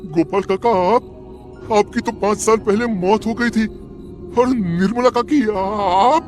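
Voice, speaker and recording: A man voicing an anxious young village man in an animated horror story, with an urgent, worried, stammering way of talking. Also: high voice, takes it slowly, faint music behind. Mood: shocked.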